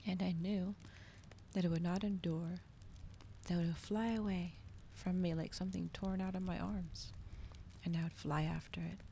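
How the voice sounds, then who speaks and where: A woman doing a soft, whispered ASMR reading as a streamer, slow and breathy, in a hushed manner. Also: fairly low pitch, steady tone, a little hiss or hum. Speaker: streamer host reading aloud.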